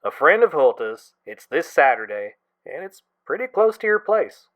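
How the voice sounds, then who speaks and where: A man playing a wry, exasperated but affectionate young friend in an audio drama, talking casually with a gently complaining manner. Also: a little high, very animated, normal speed, phone-quality sound.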